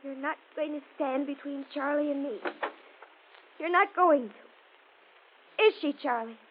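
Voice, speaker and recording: Overwrought teenage girl, lovesick, high and stammering, old radio melodrama, muffled sound. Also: unhurried, a little hiss or hum. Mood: distraught. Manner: stammering, repeating phrases. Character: overwrought seventeen-year-old girl, lovesick and defiant, confessing. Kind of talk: 1940s American radio crime drama acting.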